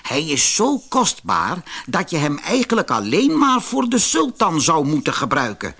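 A man voicing a sly, jealous merchant who lies with smooth, ingratiating talk in a fairy-tale audiobook. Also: on the high side, wildly swooping pitch, unhurried, a bit bright, exaggerated voice. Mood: sly, coaxing. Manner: ingratiating, coaxing.

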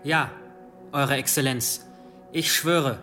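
An actor playing a loyal, deferential valet to a medieval bishop in a radio play, polite and formal. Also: male voice, medium pitch, steady tone, slow, bright, forceful tone, faint music behind. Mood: deferential, careful.

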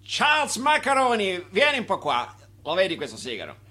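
A smug, cigar-smoking patron in a radio drama. His voice is sharp and a little high, chatty and condescending, prodding the listener with questions. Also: huge pitch swings, normal speed, slightly rough, heavily acted. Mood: smug, patronizing. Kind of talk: radio play acting.

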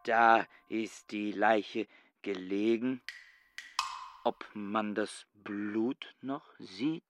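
A man's voice: fairly low pitch, fairly even intonation, very slow, fairly bright tone, faint music behind. An actor playing a haunted, obsessive and fearful man in a radio drama. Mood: brooding, obsessive. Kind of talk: German radio drama acting.

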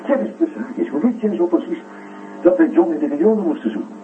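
Persistent psychiatrist, tinny and echoing. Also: male voice, high-pitched, sing-song, fast talker, a little hiss or hum. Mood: wry, probing. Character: insistent male psychiatrist questioning a patient, patient but firm. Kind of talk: Dutch science fiction radio drama acting. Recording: roomy, distant, tinny phone-like radio recording.

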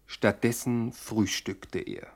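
An actor playing an anxious storyteller in a radio play, breathy and confiding, with mock suspense. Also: male voice, on the low side, big pitch swings, slow pace, darkish tone, exaggerated voice. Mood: wry. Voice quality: husky, breathy. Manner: dramatic, confiding. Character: anxious storyteller pleading with the hero. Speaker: narrator.

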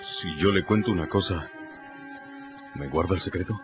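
A man's voice: low-pitched, moderate pace, close to an everyday voice. A man playing a timid, superstitious runaway slave in a radio drama, humble and deferential, with a stammering, pleading manner. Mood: anxious, confiding. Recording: muffled radio production with music behind.

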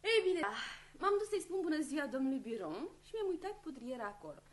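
A woman's voice: sits high, big pitch swings. An actress playing an anxious, earnest young woman under suspicion in a radio play, protesting in a pleading, indignant manner. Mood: defensive.

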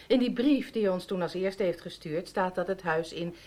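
An actress playing a distrustful, sharp-tongued wife in a Dutch audio drama, needling and mockingly sweet. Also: female voice, lowish voice, moderate pace, close to an everyday voice. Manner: needling, sardonic, insistent.

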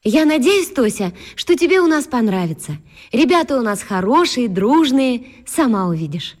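An actress playing a kind, welcoming female schoolteacher in a radio drama, speaking in a gentle, encouraging way. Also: on the high side, very animated, normal speed. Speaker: teacher. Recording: long reverb, distant from mic.

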